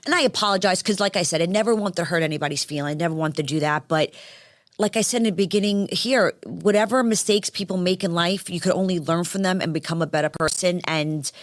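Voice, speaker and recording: A woman from Staten Island with a New York accent, talking as a guest in a casual interview in a brash, animated way with many restarts. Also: fairly low pitch, fast, no room sound, clean studio sound. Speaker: guest, former reality show contestant.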